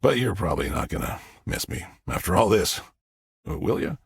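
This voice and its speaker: Man doing a rambling old father, husky and gravelly. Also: on the low side, big pitch swings, moderate pace, no room sound, clean studio sound, exaggerated voice. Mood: wistful, pleading. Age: older. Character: confused, rambling old father, stubborn and wistful.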